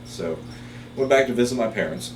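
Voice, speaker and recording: A middle-aged man from Taiwan, whose first language is Chinese, telling his story in an interview as a biology professor. He speaks unhurriedly, with many pauses and fillers. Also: medium pitch, expressive, distant mic. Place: office. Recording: roomy, off-mic, with background hiss.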